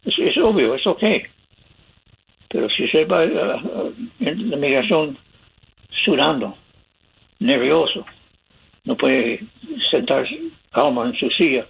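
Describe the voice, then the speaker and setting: Gravelly American man on a phone line. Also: on the high side, very animated, takes it slowly, pauses often. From United States. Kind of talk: radio call-in advice.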